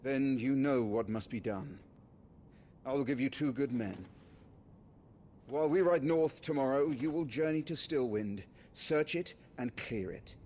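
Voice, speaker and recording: An actor playing a stern, resolute military commander, formal and brisk, in a fantasy game. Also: male voice, medium pitch, a little mellow, slight background noise, lightly acted. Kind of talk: dramatic fantasy game acting.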